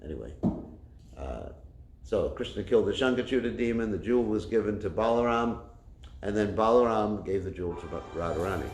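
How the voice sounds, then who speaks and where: A man with a loud voice giving a religious class, telling a story with many fillers and restarts, recorded without a microphone. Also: on the low side, expressive, a little fast, slight background noise. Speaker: spiritual teacher. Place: class room with a kitchen nearby. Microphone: no microphone, room recording. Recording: echoey room.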